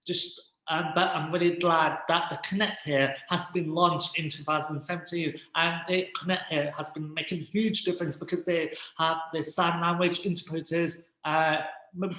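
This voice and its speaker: Halting man in an echoey room. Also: fairly high pitch, steady tone, a bit bright. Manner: halting, many restarts and fillers. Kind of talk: casual interview talk.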